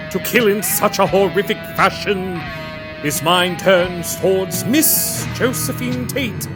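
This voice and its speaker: A man playing an ominous horror radio host, narrating in a theatrical, drawn-out, macabre old-time radio style. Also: high voice. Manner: dramatic, drawn-out, macabre delivery.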